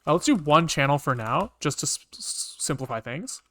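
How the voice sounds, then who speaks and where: A man's voice: on the high side, animated, normal speed, fairly bright tone. A man talking casually to himself as he works through code on a live stream, informal and muttering. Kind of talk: casual think-aloud live coding commentary.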